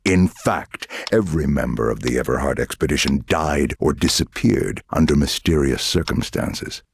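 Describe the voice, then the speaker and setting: A sinister govt interrogator guy, super low gravelly voice, condescending, game cutscene, bit of noise. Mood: cold, insinuating. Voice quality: deep, gravelly. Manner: deliberate, threatening, condescending. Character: menacing male government interrogator, lying and condescending. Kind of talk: dramatic video game acting.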